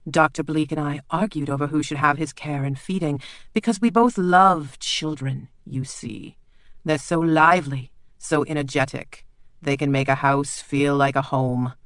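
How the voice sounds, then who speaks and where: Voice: mid-range voice, fairly even intonation, normal speed, fairly bright tone, no room sound, studio quality, natural voice. A narrator doing a smug, sneering castle lord. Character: smug, sneering lordly man, host of a castle.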